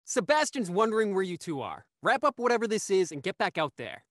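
A man's voice: high-pitched, big pitch swings, fairly quick, a bit bright, no room sound, studio quality. A young man playing a cocky, hot-headed young actor in an audio drama. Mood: confrontational. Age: young adult.

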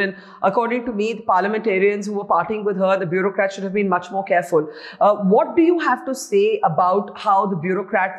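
A middle-aged woman interviewing a guest from a book-lined room, asking pointed, probing questions. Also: mid-range voice. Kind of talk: news interview.

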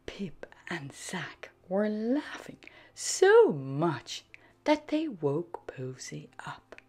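A woman reads a storybook to small children over music. Her pitch moves in lively sweeps, and her voice is breathy. She takes it very slowly, in an animated, sing-song style. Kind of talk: expressive scripted storybook read-aloud for young children. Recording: background music under the voice.

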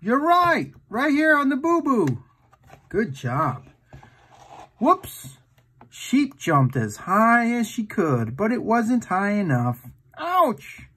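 Male storytime host, very lively pitch swings, unhurried pace, playful read-aloud for little kids. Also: breathy edge. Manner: playful, exaggerated, asks the listener questions. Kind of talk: animated read-aloud picture book storytime for young children.

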